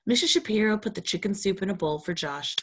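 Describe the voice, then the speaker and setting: A woman's voice: medium pitch, animated, quick pace, fairly bright tone, husky. A woman storytime reader, reading a children's storybook aloud expressively and talking to young children.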